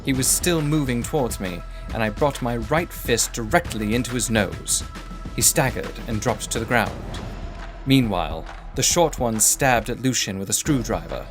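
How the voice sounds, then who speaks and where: Measured male storyteller over music. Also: medium pitch. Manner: measured, formal, literary. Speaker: narrator. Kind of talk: scripted first-person adventure story narration.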